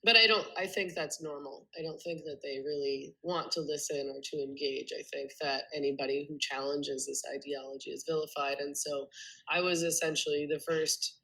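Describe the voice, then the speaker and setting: A woman's voice: low-pitched, fairly even intonation, moderate pace, creaky, pushed and bright, rough recording. A woman with a cold, sounding congested, explaining her views earnestly at length with frequent ums and restarts as a podcast guest. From Canada. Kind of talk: remote interview conversation.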